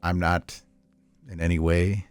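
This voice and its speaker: A man's voice: sits low, level tone, measured pace, clean tone, no echo, soft background music. A middle-aged man in casual unscripted podcast chat, opinionated and joking, rambling as he goes. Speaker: podcast co-host.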